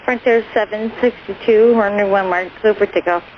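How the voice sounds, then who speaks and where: A woman air traffic controller speaks over a muffled radio channel with faint noise. Her clearances come in clipped phraseology, halting and often repeated. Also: medium pitch, steady tone. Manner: halting, repetitive. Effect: aviation radio.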